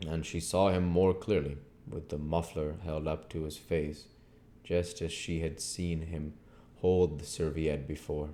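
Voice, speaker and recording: A man's voice: extremely low pitch, little pitch movement, a little slow, hardly any pauses, dark tone. A man reading a novel aloud as an amateur storybook narrator. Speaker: audiobook reader.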